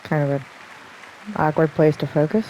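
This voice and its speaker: A woman game streamer giving casual, relaxed reaction commentary in short asides. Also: low-pitched, normal speed, some chatter behind, a little hiss or hum, low-quality recording.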